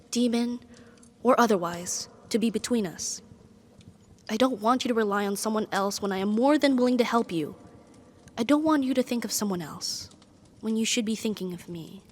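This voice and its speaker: A proud female demon played by a woman speaks in a fairly high voice for a romantic ASMR roleplay. She is possessive and deeply devoted, choosing formal, dramatic words and setting them down deliberately, with pauses. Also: lightly acted. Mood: possessive, earnest.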